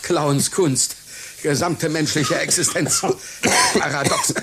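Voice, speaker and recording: An actor playing a vain, touchy aging clown in a satirical radio play, pompous and indignant, rambling hesitantly. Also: male voice, a little high, steady tone, slow, gravelly, very noisy. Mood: haughty. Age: older. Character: vain, touchy aging male clown, self-styled great artist.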